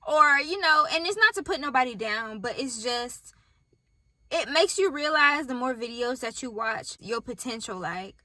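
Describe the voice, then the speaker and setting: A woman's voice: sits high, animated, moderate pace, sharp tone, dry recording. A woman with a Southern US accent talks candidly and casually to camera from her parked car, speaking straight to her viewers and filming on a phone set on a tripod. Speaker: vlogger.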